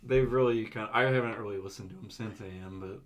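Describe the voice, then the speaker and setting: A man's voice: fairly low pitch, fast. A man co-hosting an album review podcast, rambling casually with lots of fillers and frequent chuckles. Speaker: podcast co-host.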